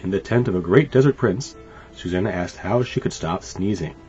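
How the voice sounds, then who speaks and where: A man reading a children's story aloud in an animated, expressive way. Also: low voice, fairly quick. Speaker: storybook reader. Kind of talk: scripted children's story narration. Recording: dull lo-fi sound. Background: light music.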